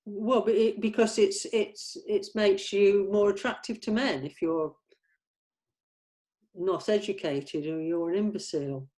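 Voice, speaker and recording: A woman's voice: mid-range voice, steady tone, unhurried, warm tone. Tentative woman talking through a script. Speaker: member of a script team. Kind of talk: informal script discussion.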